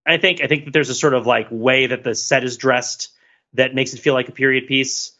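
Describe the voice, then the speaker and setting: A man from the United States co-hosting a podcast in casual chat, animated and laughing often, with many fillers and restarts. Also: medium pitch, brisk, lo-fi sound. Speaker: podcast co-host.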